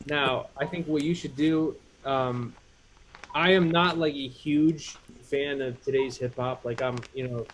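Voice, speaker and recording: A man's voice: mid-range voice, fairly even intonation, a little slow, a little hiss or hum, low-quality recording. A man hosting a casual live chat, coaching a guest on music production with lots of fillers. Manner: mentoring, gives advice, many fillers. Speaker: host.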